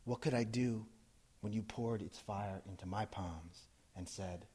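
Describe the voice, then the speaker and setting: A man from New Jersey who works as a poet. He gives an intense, measured reading of his poems and then talks casually with a live audience, laughing as he goes. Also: on the low side, warm tone. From New Jersey, USA. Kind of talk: poetry reading and audience talk. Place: live event in front of an audience.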